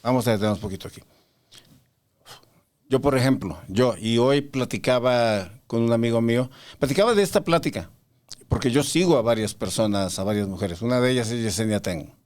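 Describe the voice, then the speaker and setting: A man's voice: mid-range voice, brisk, darkish tone. A man hosting a faith podcast in casual conversation, probing his guest and cutting in to push back. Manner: probing, interrupts to challenge. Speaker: podcast host.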